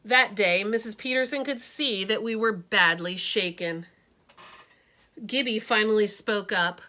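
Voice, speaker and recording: Female storybook reader, bright tone, unhurried, expressive. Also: mid-range voice. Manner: expressive, adds her own asides. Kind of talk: reading a picture book aloud.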